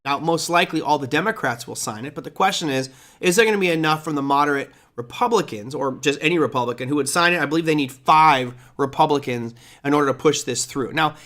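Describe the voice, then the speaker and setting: A middle-aged man with a slightly raised pitch hosts a live markets show, his voice hoarse and crackly after hours of teaching. He talks casually and bluntly with strong opinions, often restarting his sentences mid-thought. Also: quick pace, few pauses, a bit bright. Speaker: host.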